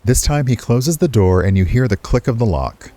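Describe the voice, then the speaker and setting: A man's voice: mid-range voice, animated, normal speed, mellow, no echo. A man narrating a visual novel expressively, with casual commentary as he streams it. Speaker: game streamer.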